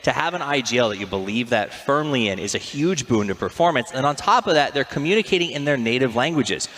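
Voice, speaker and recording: A man's voice: mid-range voice, lively intonation, fast, a bit bright. A man as an esports desk analyst, talkative and expansive.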